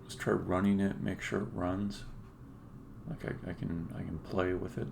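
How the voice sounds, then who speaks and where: Very gravelly, muttering man at work. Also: deep voice, flat delivery, moderate pace. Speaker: game developer live-streaming a coding session. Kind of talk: casual thinking aloud while live-coding. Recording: faint background noise, typing and clicks. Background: computer keyboard typing and mouse clicks.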